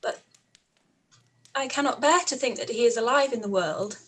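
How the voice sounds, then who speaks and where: A woman's voice: on the high side, normal speed, fairly bright tone, slightly rough. A woman playing an earnest, outspoken young Regency woman in a period radio play, with formal diction. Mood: anguished. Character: Lizzy, anguished, outspoken young Englishwoman of Regency times.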